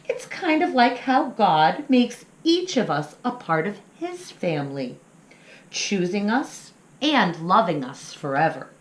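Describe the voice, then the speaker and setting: A woman acts out a father wolf from a children's storybook. The delivery is kindly, wise and reassuring, with unhurried, expressive phrasing. The recording is roomy and echoing. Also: medium pitch. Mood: thoughtful. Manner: measured, reassuring.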